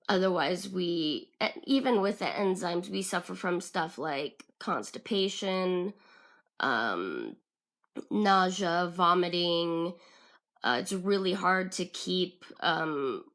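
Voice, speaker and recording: A woman in her twenties with a raspy voice, talking casually to her vlog audience in a halting way, with many ums and restarts. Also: fairly low pitch, a bit bright. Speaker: vlogger. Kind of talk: casual personal vlog talk.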